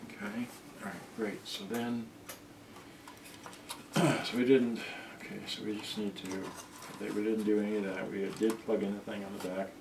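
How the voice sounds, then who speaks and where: A man talking to himself in halting, casual mutters as he gives a hands-on repair commentary. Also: lowish voice, flat delivery. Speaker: repair video host. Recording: distant roomy mic, loud noise, clicks and clatter.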